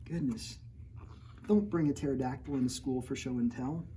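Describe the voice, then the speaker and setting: A man reads his own children's book aloud at storytime and keeps stopping for jokey asides, pretending to be outraged by his own plot. His voice is mellow and relaxed. A faint noise sits under the recording. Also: medium pitch, normal speed. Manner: playful, jokey, mock-outraged, talking straight to children. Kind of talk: storytime read-aloud with playful asides to kids.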